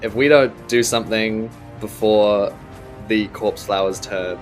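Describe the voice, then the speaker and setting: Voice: mid-range voice, fairly even intonation, a little slow, soft background music. A player with a New Zealand accent in a tabletop game, eagerly asking about rules and laughing often.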